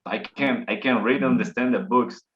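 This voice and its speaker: A man from Puerto Rico with a Puerto Rican Spanish accent, speaking English as his second language in a casual interview, halting and repeating words as he talks. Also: mid-range voice, highs cut off. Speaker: interview guest, engineering exam taker.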